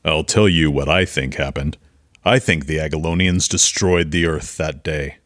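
A man's voice: rumbling low, moderate pace, fairly bright tone, no room sound, clean studio sound. A man playing an earnest, convinced conspiracy theorist in an audio drama, stating things matter-of-factly. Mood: convinced, confiding.

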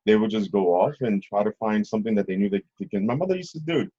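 A middle-aged man telling stories casually as an interview guest, husky, rambling and often checking in with the listener. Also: fairly low pitch, fast talker, mellow. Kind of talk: casual conversational storytelling. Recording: slightly dull, lo-fi audio.

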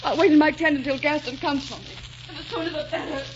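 A woman's voice: high-pitched, quick pace, close to an everyday voice. A woman acting a proud, lovelorn young captive in a 1940s radio melodrama, earnest and theatrical in delivery. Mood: wounded, scornful. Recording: dull, hissy 1940s radio recording.

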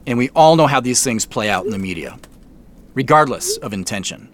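An actor playing a contrite middle-aged company founder and CEO, speaking in a measured, formal way with deliberate pauses in an audio drama. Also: male voice, medium pitch, lively intonation, a little hiss or hum, natural voice. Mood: sincere, decisive. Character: earnest, contrite male company founder and CEO addressing staff. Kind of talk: naturalistic audio drama acting.

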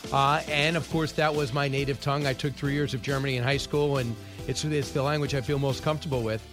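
A man hosting a radio talk show, rattling through the news with wry asides and quick opinions. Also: mid-range voice, steady tone, over music. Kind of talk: casual news-commentary monologue. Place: radio studio.

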